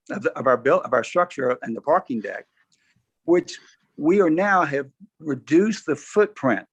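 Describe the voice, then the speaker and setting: Hoarse guy on a lo-fi call, pitching plans to a board, keeps clearing his throat n restarting. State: hoarse from allergies. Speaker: developer presenting to a review board. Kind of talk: formal presentation at a public meeting. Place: remote video call.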